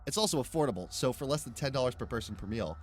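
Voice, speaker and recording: A man's voice: medium pitch, expressive, rapid-fire, slight background noise. A man reading a podcast sponsor message in a casual, informal, slightly hesitant way. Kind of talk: casual ad read.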